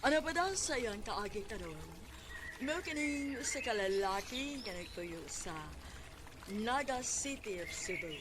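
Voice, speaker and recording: A woman's voice: fairly high pitch, lively intonation, unhurried, background noise. A woman with a Cebuano Filipino accent narrating a scripted radio drama.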